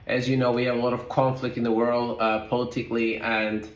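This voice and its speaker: A man's voice: mid-range voice, level tone, brisk, echoey room, rough recording. A man from Turkey, a guest in an interview, answering in loose phrasing with many "uh" fillers. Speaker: guest, logistics company founder.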